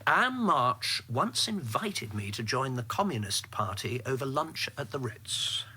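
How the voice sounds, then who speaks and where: An actor playing a guarded, reflective middle-aged government insider, measured and drily ironic, in a radio drama. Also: male voice, medium pitch, very animated, sharp tone, slightly rough, exaggerated voice. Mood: firm, defensive. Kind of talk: radio drama narration and dialogue.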